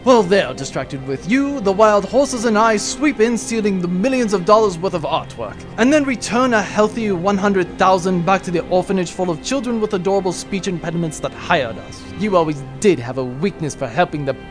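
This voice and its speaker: An actor playing a grandiose, pompous space adventurer with theatrical delivery in a comic sci-fi audio drama. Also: male voice, high voice, lively intonation, a little fast, hardly any pauses, music in the background. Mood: scheming.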